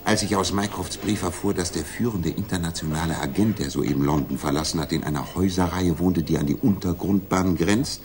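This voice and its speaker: Actor as a low-voiced, shrewd detective. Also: male voice, fairly even intonation, darkish tone, lightly acted. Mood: pleased, revealing. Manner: deliberate, didactic reasoning. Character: confident, shrewd male detective in Victorian London, methodical and commanding. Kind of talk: 1960s German radio play acting. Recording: noisy 1960s radio drama recording.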